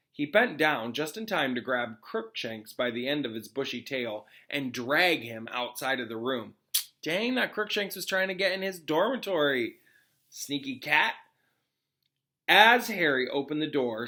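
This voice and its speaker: A man's voice: mid-range voice, animated, moderate pace, sharp tone. A man who is a classroom teacher, reading a storybook aloud expressively with casual, informal asides to pupils.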